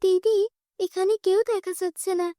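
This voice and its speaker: An earnest young village orphan girl, played by a woman in a cartoon fairy tale. The voice is very high and childlike, pleading and plaintive, in a dry, close sound. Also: fairly even intonation, normal speed, dark tone, dry recording. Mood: earnest curious. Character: grieving young orphan village sisters, girls.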